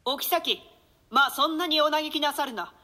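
A woman playing a scheming male royal villain in a classical tragedy, with measured, mock-courteous, archaic speech. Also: high voice, bright, forceful tone. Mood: feigned sympathy. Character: scheming royal brother, feigning piety, sardonic villain. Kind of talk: theatrical classical Japanese play reading.

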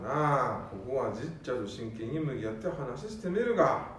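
A man's voice: medium pitch, big pitch swings, brisk, a bit bright, slight background noise. A man playing an earnest eldest son who pleads with his father in a Nanbu dialect. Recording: echoey room, distant mic.

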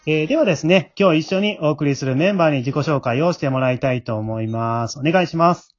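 A man's voice: on the high side, quick pace. A man hosts in a casual, polite way, explaining as he goes. He is on a slightly muffled remote connection, with music in the background. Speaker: host and scriptwriter of an amateur drama group. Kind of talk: casual hosting chat. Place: at home, remote recording.

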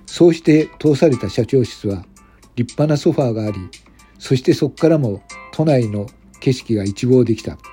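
A man's voice: medium pitch, warm tone, slightly rough. A man narrating a scripted amateur audio drama over background music. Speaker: narrator reading a radio drama.

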